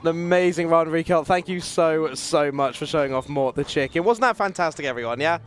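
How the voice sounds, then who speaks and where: A man's voice: fairly high pitch, a little fast, over music. A man hosting a live gaming marathon, upbeat as he presents and reads out messages. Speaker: marathon host reading donations. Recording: noisy live event, clicks.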